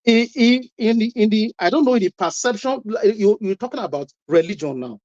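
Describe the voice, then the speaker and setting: A man's voice: sits high, animated, fairly quick, darkish tone. A man speaking as a guest in a heated online debate, pressing his points with rhetorical questions and frequent restarts. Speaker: panel guest. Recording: dull lo-fi online call audio.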